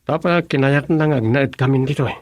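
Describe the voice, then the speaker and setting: A man's voice: medium pitch, moderate pace, no room sound, natural voice. A man playing a young, sweet-talking student suitor in a radio drama. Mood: teasing. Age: young adult. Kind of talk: Ilocano radio drama acting.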